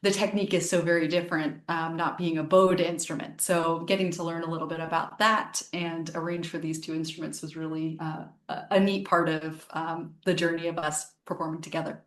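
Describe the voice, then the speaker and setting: A woman's voice: low-pitched, level tone, a little fast, few pauses, reverberant. A woman harpist and singer from the United States, answering interview questions in a chatty way, thinking aloud with many ums and restarts. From Northern Virginia, USA.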